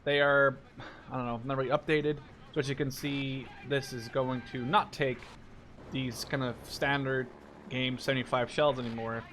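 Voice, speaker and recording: A man's voice: mid-range voice, steady tone, normal speed, fairly bright tone, slight background noise. A man in his twenties from the Netherlands, a native Dutch speaker, giving casual, rambling and informal commentary over gameplay. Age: 20s. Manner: rambling, digressive, informal with mild swearing. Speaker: gaming video creator.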